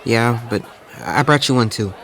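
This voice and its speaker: A man's voice: medium pitch, moderate pace, a bit bright, a faint crowd, natural voice. A man playing a nerdy, anxious, self-pitying teenage boy in an audio drama, geeky and melodramatic. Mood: glum, anxious. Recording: clear outdoor background noise.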